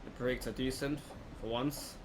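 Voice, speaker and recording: A man's voice: medium pitch, moderate pace. A man giving casual, unscripted let's play commentary, thinking aloud as he goes. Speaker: gaming video host. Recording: clear steady background noise, air-conditioning-like hum.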